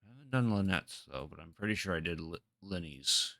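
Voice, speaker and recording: A man streaming a video game with casual commentary, halting and repeating his phrases as he talks half to himself. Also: low-pitched, animated, creaky, sharp tone, a little hiss or hum. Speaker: game livestreamer. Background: game music.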